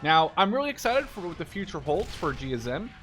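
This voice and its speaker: Casting: male gamer, twenties, Dutch native speaker, lighter-pitched voice, informal and digressive commentary over faint music. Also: sing-song, brisk, fairly bright tone. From Netherlands. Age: 20s. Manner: rambling, digressive, informal with mild swearing. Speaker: gaming video creator. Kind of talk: casual gameplay commentary.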